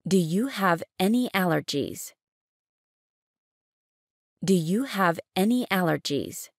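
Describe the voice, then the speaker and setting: Slow, gravelly woman reading English phrases. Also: on the low side, expressive, no room sound, clean studio sound. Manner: each phrase said three times, slow and clear.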